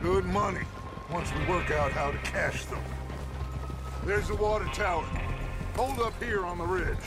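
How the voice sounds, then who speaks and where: High-pitched commanding outlaw gang leader. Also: male voice, unhurried. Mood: firm, assured. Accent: American. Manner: authoritative, rousing, orating. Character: charismatic commanding outlaw gang leader, middle-aged man. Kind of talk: cinematic western video game acting. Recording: loud music and hoofbeats behind.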